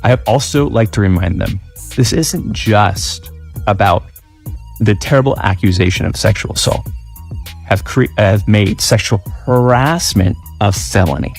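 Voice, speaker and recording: Earnest male advocate, gravelly, music underneath. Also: lowish voice, unhurried. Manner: earnest, rhetorical questions. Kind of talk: interview answer. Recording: clip with music beneath.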